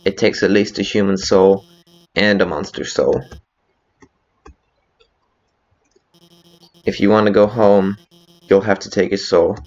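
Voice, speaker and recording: A man's voice: lowish voice, fairly even intonation, natural voice. A man voicing a nervous, guilt-ridden scientist with a heavy stutter in a fan dub of a video game. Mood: guilty, resigned. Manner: heavy stutter, halting, anxious. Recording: slightly dull lo-fi audio.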